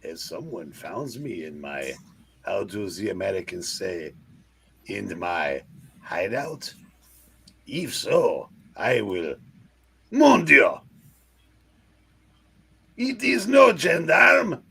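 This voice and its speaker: Pompous, melodramatic French master thief. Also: male voice, lowish voice, sing-song, takes it slowly, creaky, long pauses, sharp tone, breathy edge, heavily acted. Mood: excited, theatrical. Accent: mock French. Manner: melodramatic, grandiose, swinging from menace to exclamation. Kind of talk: hammy comic-book villain read aloud.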